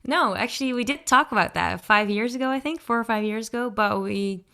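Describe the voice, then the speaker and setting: Dutch woman in her thirties, a podcast guest, clear voice, easygoing and reflective. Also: on the high side, a little fast. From Netherlands. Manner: relaxed, thoughtful, frequent ums and restarts. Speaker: guest singer-songwriter. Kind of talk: casual interview answers.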